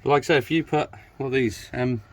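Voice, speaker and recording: Teasing mechanic in a workshop. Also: male voice, medium pitch, quick pace. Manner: matter-of-fact, teasing, explains the technical details. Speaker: mechanic helping with the build. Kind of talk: casual workshop chat. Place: garage workshop.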